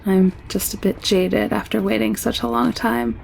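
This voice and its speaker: Protective young heroine for audio drama, played by a woman: coaxing, assured, medium pitch with noticeable creak. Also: steady tone, moderate pace, slight background noise, rough recording. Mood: reassuring, weary. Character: warm, headstrong, protective young woman, alien-world traveler. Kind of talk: scripted audio roleplay drama.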